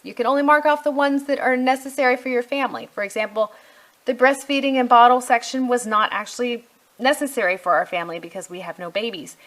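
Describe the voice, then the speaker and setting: A woman's voice: mid-range voice, fairly bright tone, low-quality recording. A middle-aged woman giving a casual product walkthrough as a reviewer, conversational and thinking aloud as she goes. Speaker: homeschool curriculum reviewer.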